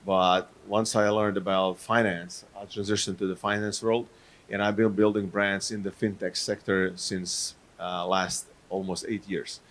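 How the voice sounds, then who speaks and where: A man from Croatia, not an English speaker, introducing himself on a conference panel in a halting way with many fillers. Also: low voice, little pitch movement, a bit bright. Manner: halting, many fillers, non-native phrasing. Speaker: panelist, fintech marketer. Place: conference stage.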